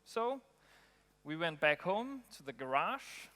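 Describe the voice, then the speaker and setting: Guy giving a conference talk, kinda high voice, lots of ums, big echoey hall. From Denmark. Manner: relaxed, with frequent ums and pauses. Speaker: conference speaker. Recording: long hall reverb.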